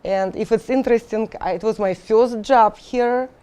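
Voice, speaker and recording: A middle-aged woman from Russia with a Russian accent, an engineering instructor answering interview questions haltingly with many fillers and restarts. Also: fairly high pitch, mellow, clear, resonant tone.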